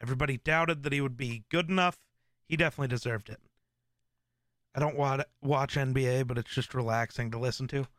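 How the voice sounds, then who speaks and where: Male streamer host, smooth and clear, casual rambling delivery with fillers. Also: medium pitch, fairly quick, no echo, clean studio sound. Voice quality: relaxed, smooth voice. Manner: rambling, with many fillers and restarts. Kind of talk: casual live-stream chat.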